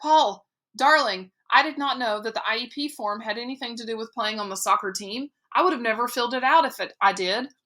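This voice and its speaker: A woman's voice: fairly quick, hardly any pauses, sharp tone. A woman doing a flustered, doting mother. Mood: defensive. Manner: high, fluttering, defensive.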